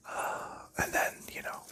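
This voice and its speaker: Very bright whispering man. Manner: soft rambling whisper with light laughs and fillers. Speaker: ASMR channel host. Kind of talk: ASMR whispered chat while sleeving cards.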